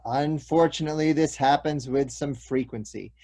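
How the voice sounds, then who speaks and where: An actor playing a courteous, apologetic police detective who explains bad news in a measured, official way at a table read. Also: male voice, on the high side, dark tone, lightly acted. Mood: apologetic, explanatory. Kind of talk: stage comedy-drama table read.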